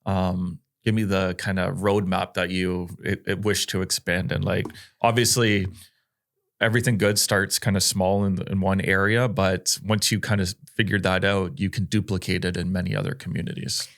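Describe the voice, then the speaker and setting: A man with a very low voice hosts a relaxed interview. His questions wander and are padded with "like" and "um". He talks at an easy conversational pace. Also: moderate pace. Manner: rambling, filler-heavy questions. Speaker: podcast host.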